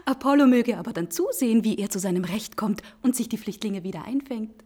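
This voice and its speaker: A woman's voice: a little high, expressive, brisk, warm tone, slightly rough. An actress in a German radio play playing a playful, mocking lady among party guests. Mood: amused.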